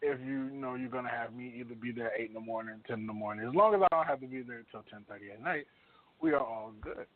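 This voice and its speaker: A man's voice: medium pitch, expressive, quick pace, vocal fry. A man co-hosting a radio show in casual chat, with a blunt, slangy way of talking. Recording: narrow tinny phone-like sound.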